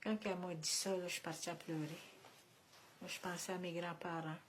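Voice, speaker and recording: A woman giving calm, reflective testimony as a residential school survivor. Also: on the low side, fairly quick, a little hiss or hum.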